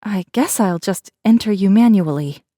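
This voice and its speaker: A woman's voice: medium pitch, lively intonation, moderate pace, mellow, no room sound, studio quality, pitched above the performer's own voice, close to an everyday voice. A woman voicing a polite, easily flustered female receptionist with a courteous, formal customer-service manner in a sci-fi audiobook. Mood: flustered, apologetic. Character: polite, flustered young female corporate receptionist, bright and chirpy.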